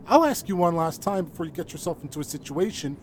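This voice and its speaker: An actor playing a stern federal drug agent in an audio drama, clipped and insistent with formally polite commands. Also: male voice, on the high side, lively intonation, fast talker, a little mellow, slight background noise, close to an everyday voice. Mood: stern, impatient.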